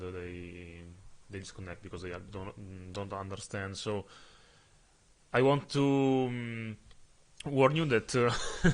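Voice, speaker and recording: A man from Italy with an Italian accent, commenting on his own football video game stream in English, his second language, pausing often with fillers as he searches for words. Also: fairly low pitch.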